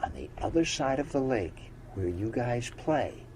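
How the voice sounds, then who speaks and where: A man doing a deep-voiced wise old frog. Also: big pitch swings, a little slow, noisy background. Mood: advising. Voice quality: deep frogish voice. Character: enormous old male frog, kindly and wise.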